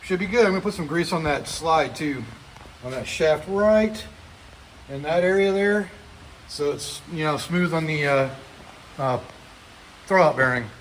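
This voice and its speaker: A man working in a metal shop, explaining each repair step aloud in a casual, rambling way with lots of fillers and speaking up loudly. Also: a little high, expressive, unhurried, pauses often, slight background noise, low-quality recording. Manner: speaks up loudly over the rain. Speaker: mechanic and channel host. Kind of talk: casual garage how-to narration. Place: metal shop building during rain. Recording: loud rain drumming on a metal roof.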